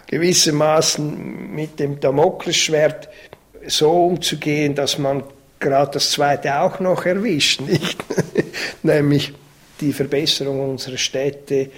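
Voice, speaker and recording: A man, an architect, giving a halting radio interview statement with repeated words and fillers. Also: mid-range voice. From Switzerland.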